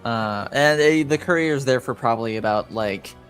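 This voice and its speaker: A man improvising narration as game master of a tabletop game, with halting, hesitant phrasing. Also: mid-range voice, fairly bright tone, soft background music.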